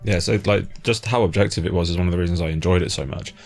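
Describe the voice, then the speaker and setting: A man's voice: low voice, quick pace, creaky, darkish tone. A British man interviewing someone in an informal, chatty way, like a fellow fan. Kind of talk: casual chat.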